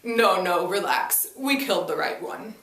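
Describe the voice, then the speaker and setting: A woman's voice: lowish voice, lively intonation, measured pace, a bit bright, far from the mic. A woman acts out a haughty, hostile uncle in a dramatic story reading. The delivery is snappish, dismissive and aggressive. It is recorded in an echoey room, away from the mic. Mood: haughty, cold.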